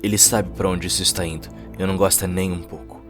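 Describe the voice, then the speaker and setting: A man's voice: low voice, fairly even intonation, quick pace. A man with a Brazilian Portuguese accent giving dramatic scripted narration of a cyberpunk story. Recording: music under the voice.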